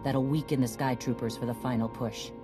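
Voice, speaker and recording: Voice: medium pitch, steady tone, a little fast, natural voice. An actor playing a tough, seasoned mercenary clan commander in a video game, terse and authoritative. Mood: commanding. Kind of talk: video game cutscene acting. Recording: music behind the voice.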